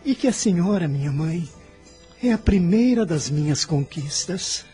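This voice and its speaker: High, mellow, tender adolescent son. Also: male voice, lively intonation, a little slow, faint music behind. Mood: moved, joyful. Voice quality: trembling with emotion. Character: devoted, idealistic adolescent holy boy, tender son. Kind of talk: radio drama acting.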